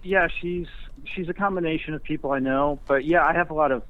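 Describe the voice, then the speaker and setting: A man speaking as a guest screenwriter in a casual interview, halting and self-effacing. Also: a little high. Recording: muffled, tinny phone-like line.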